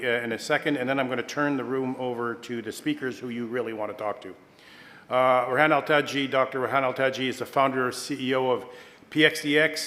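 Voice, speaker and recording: A middle-aged man from Kenya moderating a conference panel, formal and measured, with frequent fillers and self-corrections. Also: mid-range voice, sharp tone. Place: large conference hall. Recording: long hall reverb.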